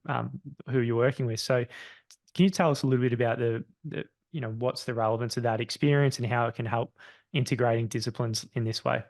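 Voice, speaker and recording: Guy hosting a podcast, mid-pitched voice, dry sound, kinda rambles his questions. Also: fairly even intonation, fairly quick, gravelly, darkish tone, no echo. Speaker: podcast host. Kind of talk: interview conversation.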